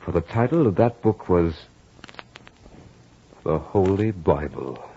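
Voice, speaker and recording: Low-voiced, studious young man, deliberate and theatrical, vintage muffled radio drama. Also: expressive, vocal fry, background noise, exaggerated voice. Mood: brooding suspense. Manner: deliberate, theatrical storytelling delivery. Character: studious, stubborn young male scholar, coolly rational. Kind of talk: 1940s-50s radio drama acting, dramatic first-person narration. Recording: muffled old radio recording with music.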